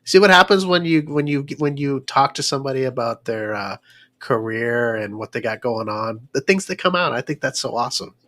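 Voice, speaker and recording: An eager male host leads a relaxed interview. He gushes over his guest, repeats himself and restarts his sentences as he goes. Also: mid-range voice, brisk. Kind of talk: casual interview chat.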